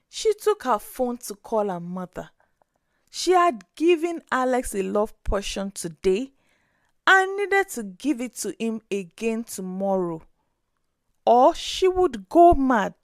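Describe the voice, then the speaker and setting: Female storyteller, dramatic, highish pitch, unhurried pace, scripted narration. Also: no echo, clean studio sound.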